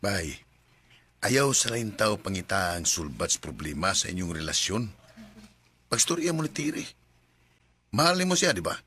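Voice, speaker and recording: A man's voice: deep voice, big pitch swings, normal speed, long pauses, bright, forceful tone, breathy edge, heavily acted. A man playing a concerned, sensible friend who admonishes earnestly in a Bisaya radio drama, with a Cebuano accent. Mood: earnest, advising. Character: concerned, sensible male friend giving frank advice.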